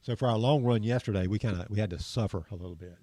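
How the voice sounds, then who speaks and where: A man's voice: fairly low pitch, fast talker, dark tone, dry recording. A man co-hosting a running podcast, chatting with an enthusiastic, laughing manner. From Georgia. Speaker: podcast co-host. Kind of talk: casual interview chat. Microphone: video call.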